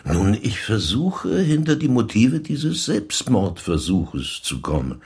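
An actor playing a suspicious, dogged police inspector in a German radio crime drama, asking formal, pointed questions. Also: male voice, on the low side, very animated, takes it slowly, a little mellow, husky, exaggerated voice. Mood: suspicious, firm.

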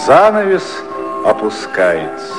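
A man's voice: mid-range voice, wildly swooping pitch, slow pace. A man narrating a 1950s radio play in a scripted, theatrical storytelling style. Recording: long reverb over loud music.

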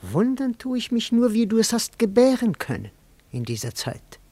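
An actor playing a melancholy, reproachful hunchback, solemn and brooding, in a poetic radio drama. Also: male voice, very high-pitched, huge pitch swings, moderate pace, mellow, breathy edge, dry recording, heavily acted.

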